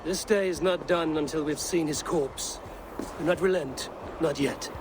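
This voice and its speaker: An actor playing a stern, relentless Viking warrior in a video game, speaking in a solemn, measured way. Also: male voice, fairly high pitch, noisy background, lightly acted. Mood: stern, urgent. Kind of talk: dramatic video game acting.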